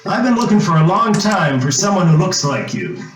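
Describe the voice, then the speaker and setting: An echoing radio-play voice with music behind it: a man playing a folksy, wheedling ex-police chief. He is somewhat high-pitched, swoops around a lot, and stammers his way into every pitch he makes. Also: sing-song, moderate pace, a little hiss or hum. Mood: nervous, probing. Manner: hesitant, stammering, folksy, coaxing. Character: insistent, wheedling former small-town police chief. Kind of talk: old-time radio drama acting. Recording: roomy echo, music underneath.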